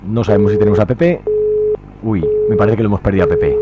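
A man's voice: fairly low pitch, fast, heavy background noise. A man with an accent from Spain presenting casually as a live radio host. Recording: music playing behind. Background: music.

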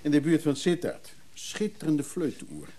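Mellow, teasing middle-aged brother. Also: male voice, on the high side, very animated, normal speed. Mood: chatty, amused. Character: teasing, chatty middle-aged brother named Freek, recovering drinker. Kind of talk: naturalistic Dutch radio play acting.

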